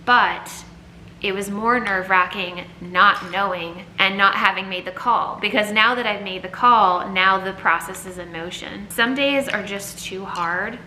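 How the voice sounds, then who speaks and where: A woman's voice: fairly low pitch, pushed and bright. A woman talking candidly in a personal vlog, earnest and confiding, as if thinking aloud. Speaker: vlogger. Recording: echoey room, faint background noise.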